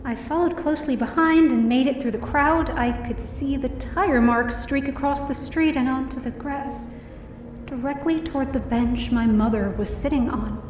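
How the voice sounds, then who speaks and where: A woman's voice: high-pitched, moderate pace, roomy sound, distant mic, slight background noise, close to an everyday voice. A woman playing an emotional young woman who narrates her own story in an audio drama. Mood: tense narration. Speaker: first-person narrator and lead.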